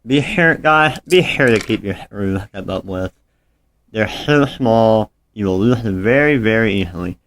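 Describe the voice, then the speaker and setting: A man's voice: fairly low pitch, expressive, a little slow, fairly bright tone. A man talking informally and a bit apologetically through a hands-on phone repair tutorial as he works. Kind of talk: casual hands-on how-to narration.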